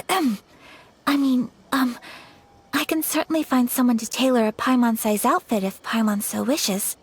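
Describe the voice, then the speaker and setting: A woman's voice: a little high, a little slow, slightly rough. A woman voicing a gracious young noblewoman who speaks in a formal, refined and courteous way, in video game dialogue. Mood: gentle. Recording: game audio with music.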